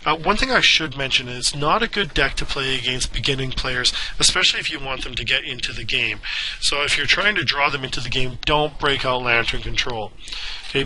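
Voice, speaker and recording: A man with a raspy, hoarse voice from a lingering cough, casually explaining a card game deck as a channel host, with frequent "okay" and "right" check-ins. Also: medium pitch, sharp tone, a little hiss or hum, poor audio. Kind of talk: casual deck explanation over screen capture.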